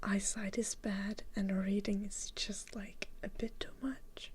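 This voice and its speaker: A woman's voice: medium pitch, level tone, measured pace. A woman from Finland, a native Finnish speaker, whispering casual, rambling ASMR chat to her listeners as a live streamer.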